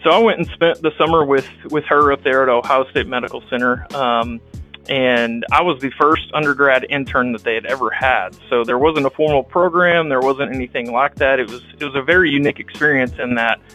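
A young man from Texas speaks with a Texan accent, his voice bright and forceful. He talks as an interview guest, halting often with restarts and ums, and faint music plays behind him. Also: mid-range voice, poor audio. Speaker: guest, rural health clinic director. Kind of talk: casual interview, telling his life story.

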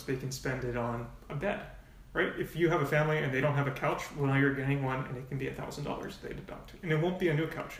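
Brief: quick-talking gravelly man, frank and explanatory, heard from a distance in an echoey room. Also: medium pitch, a bit bright. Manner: frank, explains in long runs with asides. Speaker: nonprofit volunteer coordinator, guest. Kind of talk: casual podcast interview.

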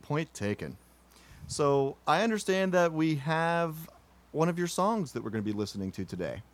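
A man's voice: a little high, sing-song, normal speed. A man hosting a comedy podcast, asking questions in a dry, matter-of-fact way. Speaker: podcast host. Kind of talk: mock interview, dry comedy.

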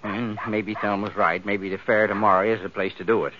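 An actor playing an anxious, henpecked small-town husband in a 1950s radio western, stammering and pleading. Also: male voice, lowish voice, little pitch movement, a little fast, sharp tone, a little hiss or hum. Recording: muffled 1950s radio recording.

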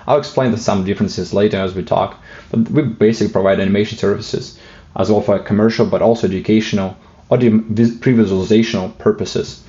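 A man with a Russian accent talks in a low, dark voice that barely rises or falls. He speaks hesitantly, with many fillers and restarts, and now and then slips in a light joke. The sound is muffled and lo-fi. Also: steady tone, fast. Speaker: guest. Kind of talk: casual interview talk.

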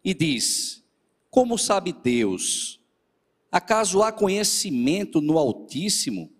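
A man, a presbyter leading a church service, a little nasal and hoarse from a cold, speaking earnestly to the congregation. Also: high-pitched, big pitch swings, slow, in a large hall. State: still a bit hoarse after the flu. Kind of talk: sermon with scripture reading.

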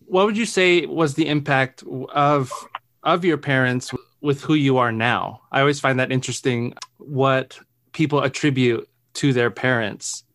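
A man from Arizona hosting a podcast, asking questions in a relaxed, friendly way with frequent restarts and ums. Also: mid-range voice, no room sound. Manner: relaxed, friendly, halting with repeated words and ums. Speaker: podcast host. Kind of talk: casual interview conversation.

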